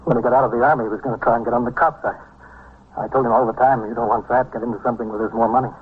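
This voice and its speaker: A man's voice: medium pitch, steady tone, rapid-fire, breathy edge, close to an everyday voice. An actor with an American accent playing a middle-aged patrol sergeant and father in a vintage radio drama. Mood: stunned, grieving. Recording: tinny, noisy vintage radio recording.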